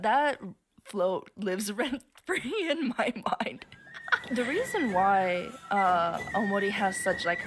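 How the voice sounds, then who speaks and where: A young woman from Japan streaming and chatting casually, animated and swearing freely as she acts out what other people say. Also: mid-range voice. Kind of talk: casual chat. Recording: music playing behind.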